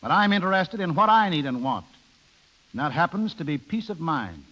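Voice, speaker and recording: An actor in a 1930s radio play as a plain-spoken, middle-aged American husband, wronged and determined to be reasonable. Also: male voice, on the high side, sing-song, normal speed, sharp tone, exaggerated voice. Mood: firm, confronting. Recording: dull, lo-fi 1930s radio broadcast.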